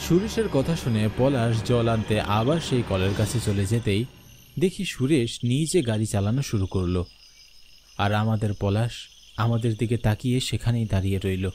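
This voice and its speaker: A man's voice: on the low side, normal speed. A man narrating a scripted horror story in the first person. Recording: background noise with night crickets.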